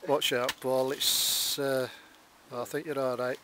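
A man's voice: medium pitch, flat delivery, takes it slowly, bright, forceful tone. A man in his forties with a Yorkshire accent, commenting drily on his bottle dig as he films it outdoors in the countryside. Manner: dry, wry understatement. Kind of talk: casual commentary while digging. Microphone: handheld or worn camera close to face. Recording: outdoor camera mic with clear background noise.

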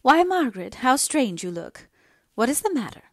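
A woman acting a plaintive, frail mother, pitched up. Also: big pitch swings, normal speed, no echo, studio quality. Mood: displeased. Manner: plaintive, anxious, emotional. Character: frail ailing middle-aged mother, plaintive, easily displeased. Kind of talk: dramatised audiobook dialogue.

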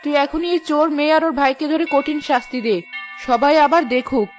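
A woman's voice: high voice, brisk, natural voice. A woman voicing a bossy, greedy village mother-in-law who scolds and accuses in a children's cartoon. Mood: angry, accusing. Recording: music behind the voice.

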